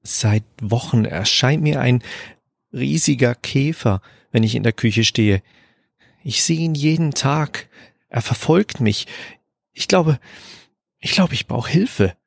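A man's voice: medium pitch, expressive, slow, creaky, dry recording, clean studio sound, heavily acted. A man acting as a stressed restaurant cook near breaking point, subdued and earnest, in a German audio drama. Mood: confessing, troubled.

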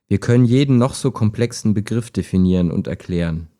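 A man's voice: on the low side, fairly quick, mellow, natural voice. A man playing a skeptical, wistful chatbot that talks like a human in an intimate radio play, understated and hesitant. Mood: resigned.

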